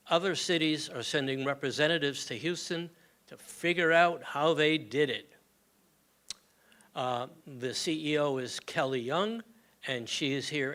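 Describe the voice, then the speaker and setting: An older man shares practical health advice with a community gathering in a plain, conversational way. His voice sits at an ordinary middle pitch. He speaks through a microphone in a hall. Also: sharp tone. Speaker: community moment presenter. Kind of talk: informal health talk to a gathering. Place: meeting hall with audience.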